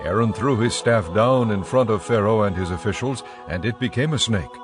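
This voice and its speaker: A man narrating scripture from a script in a measured, formal storytelling manner. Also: lowish voice, music in the background.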